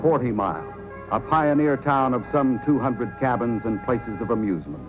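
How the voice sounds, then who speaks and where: A man's voice: medium pitch, lively intonation, normal speed, rough recording. Dramatic old-time radio man announcer, music behind. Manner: dramatic, declamatory. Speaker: announcer and narrator. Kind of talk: 1940s radio scripted narration.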